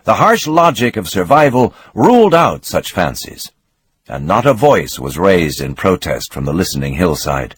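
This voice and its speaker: A man reading a novel aloud as narrator, in a measured, expressive storytelling manner with a husky voice. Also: low voice.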